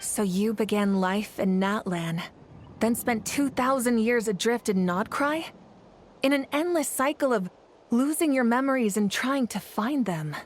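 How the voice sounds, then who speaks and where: A woman's voice: a little high, lively intonation, measured pace, slight background noise. A woman playing a composed, authoritative leader in a video game, speaking with poise and decision. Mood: pondering.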